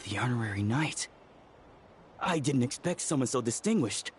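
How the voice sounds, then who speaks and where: A nervous young trainee medic acted by a man in a fantasy game, with a lively, wavering pitch. He is polite, hesitant and awkward. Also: normal speed, slight background noise, lightly acted. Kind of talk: video game character acting.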